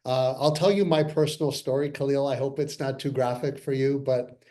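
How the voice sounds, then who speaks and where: A man's voice: mid-range voice, fairly even intonation, fairly quick, darkish tone. Earnest man in his sixties on a call. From Canada. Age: 60s. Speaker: company co-founder presenting to investors. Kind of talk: webinar presentation. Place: parents' home, by video call.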